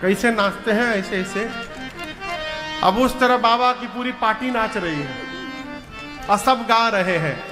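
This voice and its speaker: A man tells a devotional story in a high, unhurried voice. He jokes and keeps calling on his listeners to answer. Devotional music with instruments plays behind him. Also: long reverb tail. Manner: playful, jokey, drawing responses from the listeners. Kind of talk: devotional story sermon with chanted verses.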